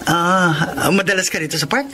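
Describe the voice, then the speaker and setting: A lovestruck young suitor in a radio play, bashful and earnest, with a slightly raised pitch. He talks warmly and laughs easily between lines. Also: normal speed, slight background noise. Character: cheerful young man courting, jobless. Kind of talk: radio drama acting.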